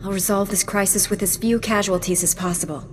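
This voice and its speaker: A woman playing a calm, resolute Jedi knight in a video game, speaking with earnest firmness. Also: medium pitch, fairly quick, natural voice. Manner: composed, earnest, firm. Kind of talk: scripted game dialogue. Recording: music behind the voice.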